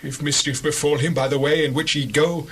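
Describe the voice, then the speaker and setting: A man's voice: fairly high pitch, fairly even intonation, brisk, breathy edge. An actor playing a grieving, protective old father in a solemn scripture drama, speaking in a lamenting way. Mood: grieving, refusing. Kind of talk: dramatized scripture reading in archaic English.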